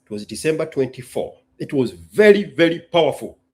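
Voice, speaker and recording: Guy from kenya hosting a casual q&a talk, husky voice, really swoops up and down, emphatic and repeats stuff. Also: a little high, big pitch swings, fairly quick. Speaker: host. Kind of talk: casual solo talk to an audience.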